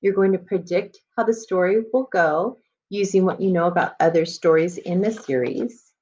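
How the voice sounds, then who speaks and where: A woman's voice: fairly low pitch, moderate pace, darkish tone, dull audio. A woman elementary school teacher leading a read-aloud lesson for children, explaining and addressing her listeners as she goes.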